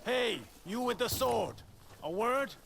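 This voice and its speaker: An actor playing a gruff townsman in a video game, calling out to a passing swordsman. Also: very high voice, animated, slow, fairly bright tone, slight background noise, exaggerated voice. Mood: hailing.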